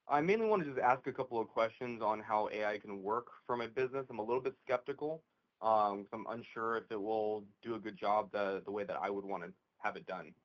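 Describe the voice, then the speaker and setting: A man with a fairly low voice speaks in casual conversation. He asks questions as a business owner, in a hesitant, halting way. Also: rough recording.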